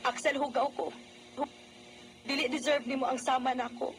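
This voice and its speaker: A woman's voice: sits high, level tone, moderate pace, slight background noise, lightly acted. A woman with a Cebuano Filipino accent acting a tender young mother ashamed of her past in a radio drama. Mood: hesitant, ashamed.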